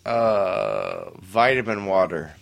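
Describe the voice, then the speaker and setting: A man's voice: deep voice, sing-song, takes it slowly, gravelly. A man in his thirties hosting a crude casual chat, laughing often and reading out news stories. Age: 30s.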